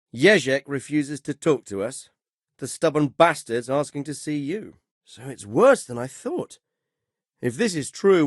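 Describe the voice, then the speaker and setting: An actor playing a stern, dry-witted middle-aged medieval lord in a video game, speaking with formal, measured authority and a wry, sardonic edge. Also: male voice, on the high side, no echo. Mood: grave. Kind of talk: video game dialogue acting.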